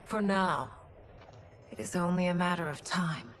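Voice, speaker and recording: An actress playing a regal, heartfelt warrior empress in a video game, earnest and softly dramatic. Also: female voice, on the low side, lively intonation, unhurried, a little hiss or hum. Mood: resolute. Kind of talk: video game cutscene acting.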